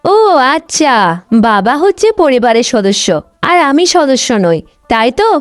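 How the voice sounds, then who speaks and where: একজন মহিলা কার্টুন গল্পে অহংকারী, স্বার্থপর তরুণী বড় বোনের চরিত্রে কণ্ঠ দিচ্ছেন, কথায় বকাঝকা আর অভিযোগের সুর।. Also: female voice, high voice, big pitch swings, a little slow, no echo, faint music behind. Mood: scolding, aggrieved. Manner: scolding, complaining. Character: vain, selfish, sharp-tongued young elder sister. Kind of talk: Bengali cartoon story voice acting.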